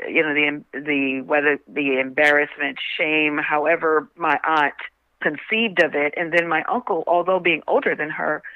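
A woman's voice: low-pitched, no echo, phone-quality sound. A woman from Baltimore, a comedian being interviewed, talking conversationally in an earnest, emphatic way. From Baltimore, Maryland, USA.

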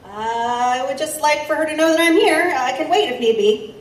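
A woman's voice: sits high, moderate pace, close to an everyday voice. A woman playing an eager, polite but impatient reporter in an audio drama, hesitant at first yet insistent. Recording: roomy, distant, faint background noise.

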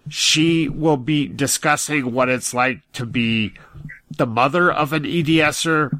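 Slow, very bright-voiced man, measured. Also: mid-range voice, no echo, poor audio. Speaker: podcast co-host. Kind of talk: conversational podcast announcement.

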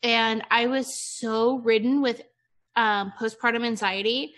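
Bright-voiced woman from Ohio. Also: a little high, fairly even intonation. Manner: candid, laughs easily, quick asides. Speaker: podcast host. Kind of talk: casual chat.

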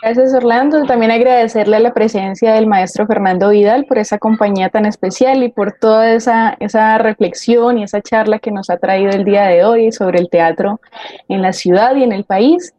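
A woman's voice: medium pitch, steady tone, normal speed, dark tone, no echo, dull audio. A woman giving unscripted closing remarks as a co-host. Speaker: communicator, co-host.

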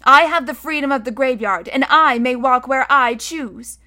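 A woman voicing a calm, curious, brave young boy in a storybook, speaking plainly and earnestly. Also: sits high, measured pace, voice pushed up. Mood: firm, defiant.

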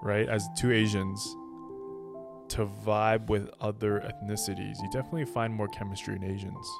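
A man from Canada whose first language is Chinese, co-hosting a casual podcast chat, laid-back and animated when joking. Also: fairly low pitch, moderate pace, vocal fry, over music. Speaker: podcast co-host.

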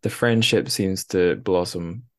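A man's voice: low voice, a little slow. A man hosting a podcast, chatting casually about fiction and feeling for his words as he retells it with some animation. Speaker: podcast host. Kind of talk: casual book discussion.

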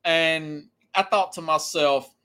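Bright-voiced man in his forties talking sports. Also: a little high, slow pace, smooth. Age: 40s. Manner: conversational, anecdotal, emphatic. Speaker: sports talk show host. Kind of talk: casual opinionated sports commentary.

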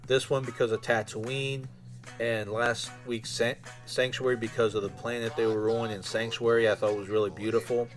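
Casual man podcasting over soft music. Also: medium pitch, little pitch movement, moderate pace. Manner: informal, conversational. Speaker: podcast host. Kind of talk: casual solo fan podcast chat.

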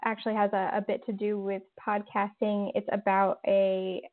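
A woman's voice: mid-range voice, flat delivery, moderate pace. A woman being interviewed about her audio drama podcast, answering casually with many fillers and restarts. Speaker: podcast creator and guest. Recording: tinny phone-like line.

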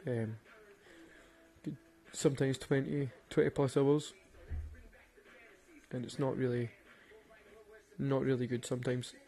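A man's voice: medium pitch, little pitch movement, slow pace, pauses often, warm tone. A man with a Glaswegian Scottish accent, rambling and swearing through casual stories and laughing at his own jokes. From Glasgow, Scotland. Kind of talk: casual storytelling monologue.

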